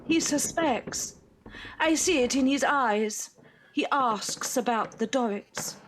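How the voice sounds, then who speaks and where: Woman playing a slow, stern, secretive matriarch. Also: high-pitched, slight background noise, rough recording. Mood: uneasy, suspicious. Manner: stern, measured. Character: cold, secretive, stern mother of a grown son. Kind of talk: audio drama acting of Victorian period fiction.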